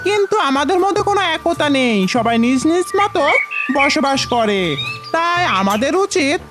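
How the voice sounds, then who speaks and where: A woman voicing a sly, ambitious fox in an animated fable, persuasive and boastful about itself. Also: sits high, moderate pace, music in the background. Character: sly, ambitious, self-important fox who wants to be king.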